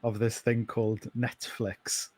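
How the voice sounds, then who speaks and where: Slow-speaking North Welsh man. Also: lowish voice, level tone, darkish tone. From Wales. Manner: unhurried, hesitant with many ums. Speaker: pastor. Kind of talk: podcast interview.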